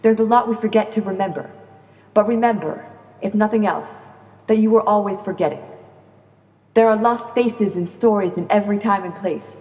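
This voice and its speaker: A woman's voice: medium pitch, darkish tone. Woman doing spoken word poetry, earnest n rhythmic, really echoey room with long reverb. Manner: rhythmic, earnest, deliberate phrasing with rhyme. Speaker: slam poet.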